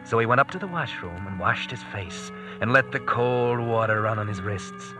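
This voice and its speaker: An actor playing a grim, needling thriller narrator in a classic radio drama, clipped and suspenseful. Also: male voice, lowish voice, moderate pace, music in the background, lightly acted.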